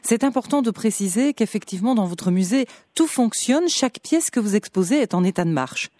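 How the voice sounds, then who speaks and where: A radio host introducing a guest and asking clear, friendly interview questions in a studio. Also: mid-range voice, expressive, brisk, mellow, no echo.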